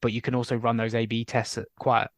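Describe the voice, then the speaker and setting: A man's voice: mid-range voice, level tone, quick pace, no room sound. Man host asking questions, dry sound. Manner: inquisitive, sums up the guest's points. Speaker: podcast host. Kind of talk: casual podcast interview.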